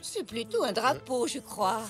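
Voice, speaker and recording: Sweet cartoon zebra granny, fairly high voice, very expressive, music behind. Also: female voice, a little slow. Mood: helpful. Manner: gentle, expressive, encouraging. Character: kindly elderly zebra grandmother, encouraging, reassuring. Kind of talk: French children's cartoon acting.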